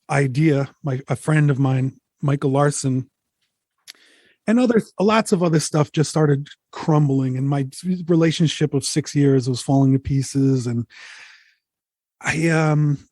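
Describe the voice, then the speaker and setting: A man's voice: on the high side, a little mellow, no room sound. A man in his forties, a musician talking candidly and reflectively as an interview guest, swearing casually.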